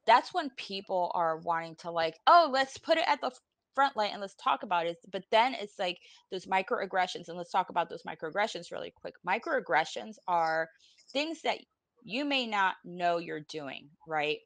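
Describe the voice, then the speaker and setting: A woman in her forties from Puerto Rico talks in a lowish, close and dry voice. She is informal, salty and blunt, with a weary edge, as a guest speaking personally on a panel. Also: animated, moderate pace, fairly bright tone, dry recording. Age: 40s. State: weary, emotionally exhausted. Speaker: podcast guest. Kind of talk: candid personal panel talk. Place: room at home, on a video call.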